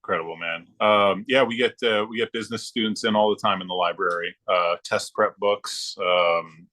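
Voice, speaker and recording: Low-pitched middle-aged American man, chatting casually. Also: steady tone, normal speed, sharp tone. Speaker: podcast guest. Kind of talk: casual chat.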